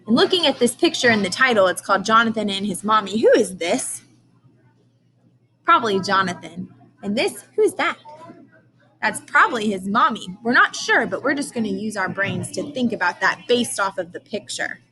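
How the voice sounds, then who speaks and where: Woman, early-grades teacher, read-aloud lesson, question prompts, carefully enunciated key words, mid-pitched voice, background room noise. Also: expressive, moderate pace, sharp tone, rough recording.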